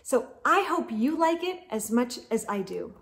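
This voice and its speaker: A middle-aged woman reads aloud to small children in a high voice that swings up and down with lively expression. She takes her time, speaking as a storytime host with exaggerated delivery. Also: a little slow, vocal fry, slight background noise. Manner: animated, exaggerated delivery with hushed asides. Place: indoor room lined with bookshelves.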